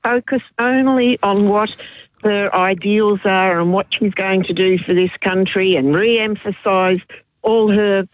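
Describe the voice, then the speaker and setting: A woman with a mid-height voice calls in to a talkback radio show and puts her view in an earnest, measured way. Her voice sounds dry and close. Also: mid-range voice, fairly even intonation, dry recording, narrow, tinny audio. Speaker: caller. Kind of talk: talkback call.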